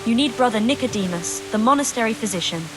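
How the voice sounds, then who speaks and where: A woman as devout, higher-voiced medieval carer. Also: animated, fast talker, lightly acted, low-quality recording. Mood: concerned. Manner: earnest, concerned. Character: devout, caring medieval woman, anxious and kindly. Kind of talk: video game dialogue acting. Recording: music clearly behind the voice.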